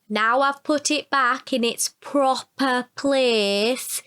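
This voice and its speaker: A woman voicing a patronising young male telephone engineer in a short story, speaking loudly, slowly and over-carefully. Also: a little high, pitched above the performer's own voice. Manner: louder, slower, over-careful delivery.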